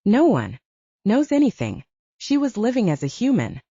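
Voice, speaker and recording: Woman acting a husky, defiant male werewolf lawman. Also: on the high side, wildly swooping pitch, normal speed, warm tone, no echo, lo-fi sound, pitched above the performer's own voice, heavily acted. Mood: guarded. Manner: terse, stubborn. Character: defiant, defensive younger werewolf brother, adult male lawman.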